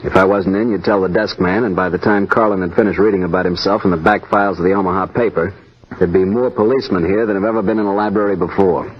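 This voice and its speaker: An actor playing a wry, matter-of-fact police sergeant in vintage radio drama style. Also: male voice, deep voice, steady tone, quick pace, natural voice. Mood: dry, assured. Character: wry, self-assured police sergeant giving instructions. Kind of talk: 1940s-50s radio drama acting. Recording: dull old radio recording, faint noise.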